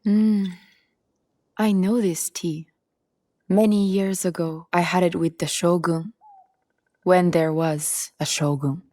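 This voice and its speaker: A haughty teasing noblewoman in a samurai game, lowish voice, really slow, super dry close sound. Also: steady tone, dark tone, dry recording. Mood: reflective, proud. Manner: self-assured, teasing, haughty. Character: bold, boastful, ambitious noblewoman of feudal Japan. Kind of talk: video game cutscene acting.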